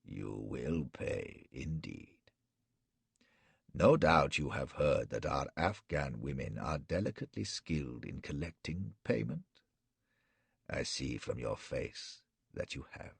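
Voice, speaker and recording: A man voicing a cruel, mocking male warlord in an audiobook, speaking with slow, measured menace in a gravelly, husky voice. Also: very deep voice, sing-song, dry recording, full character voice. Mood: menacing. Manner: slow, gloating, measured threats. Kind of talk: audiobook character reading.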